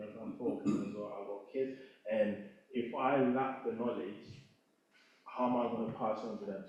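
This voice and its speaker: A man's voice: on the low side, mellow, dull audio. A man from Ghana, speaking off the cuff at a community event, earnest and thinking aloud. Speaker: panel speaker. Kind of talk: unscripted reflective talk at an event. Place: event hall. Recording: echoey hall, speaker far from the mic.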